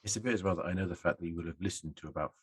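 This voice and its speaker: A man's voice: sits low, racing, husky, no room sound. A man in casual banter as a podcast guest, with a wry, dry sense of humour.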